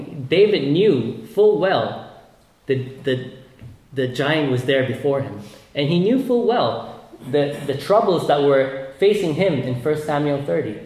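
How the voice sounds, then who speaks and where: A man's voice: on the high side, a little mellow, echoey room, low-quality recording. A man from Malaysia preaching a sermon, earnest and often repeating words as he builds his points.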